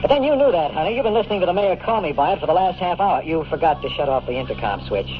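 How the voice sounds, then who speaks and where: An actor playing a cynical, wisecracking bachelor private detective in a radio drama, with a snappy, sardonic hardboiled delivery. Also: male voice, a little high, big pitch swings, racing, slight background noise. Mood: flip, flirtatious. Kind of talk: 1950s radio detective acting and first-person narration. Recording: muffled old radio broadcast with music.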